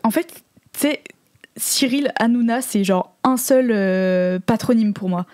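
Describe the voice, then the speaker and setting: A woman's voice: fairly high pitch, unhurried, darkish tone, dry recording. Thoughtful woman podcast host, dry mic. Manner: thoughtful, hesitant with fillers. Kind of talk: casual chat, scripted show intro.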